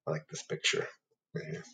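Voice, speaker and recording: A middle-aged man reading a picture book aloud to children at home on a webcam, animated and asking them questions. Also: reverberant. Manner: animated, asks the young audience questions. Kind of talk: children's storytime read-aloud. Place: home living room. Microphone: webcam at desk.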